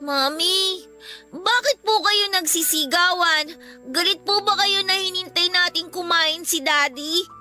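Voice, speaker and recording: A woman's voice: very high-pitched, unhurried, sharp tone, faint music behind, heavily acted. A woman with a Filipino accent playing a sweet, polite little daughter in a radio drama. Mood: worried.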